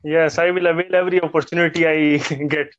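A man's voice: on the high side, flat delivery, brisk, a bit bright. A man speaking on a video call in a casual conversation, hesitant, with many fillers and restarts while he explains himself. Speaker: guest participant practicing English. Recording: muffled video call audio.

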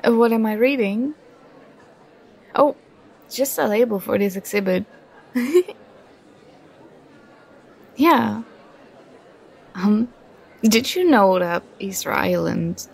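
A woman's voice: medium pitch, creaky, darkish tone, husky, some chatter behind, heavily acted. A young woman playing a nerdy, affectionate girlfriend who gets flustered and bashful, in an ASMR roleplay. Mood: amused, curious, then explaining, nerdy. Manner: bashful, halting, playfully protesting.

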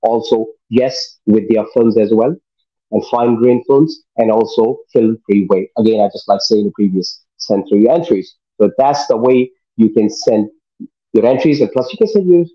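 A man's voice: fairly low pitch, normal speed, breathy edge, muffled recording. A middle-aged man hosting a talk show over a video call from his living room, enthusiastic and informal. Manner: enthusiastic, loose informal phrasing. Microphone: video call webcam.

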